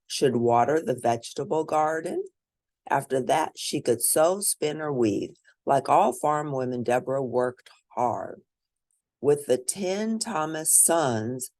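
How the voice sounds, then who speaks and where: A woman narrates a picture book for children in a deliberate, teacherly manner. Her intonation is very animated, rising and dipping widely. The sound is dry, with very little room around the voice. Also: a bass voice, no echo. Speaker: children's read-aloud narrator and educator. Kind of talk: picture book read-aloud with asides to young listeners.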